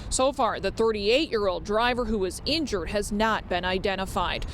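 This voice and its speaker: A woman's voice: mid-range voice, moderate pace. American lady tv reporter doing a live news report, some noise behind her.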